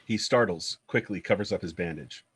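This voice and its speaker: A man's voice: low-pitched, brisk, slightly rough. A man reading screenplay stage directions as scripted narration.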